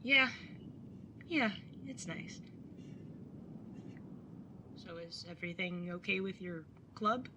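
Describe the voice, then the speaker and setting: A woman's voice: fairly low pitch, slow pace, pauses often, noisy background. A woman playing a wry, jaded young artist bartender in an audio drama, sardonic and casually sweary as narrator and older sibling. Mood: awkward, friendly. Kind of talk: first-person audio drama narration and dialogue.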